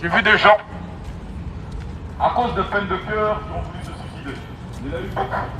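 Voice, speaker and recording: A high-voiced man evangelizes in the open air through a megaphone, with street noise clearly behind him. He exhorts emphatically, hammering key phrases by repeating them. It is a street sermon, amplified over the sounds of the city. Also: normal speed. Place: outdoors on an urban street.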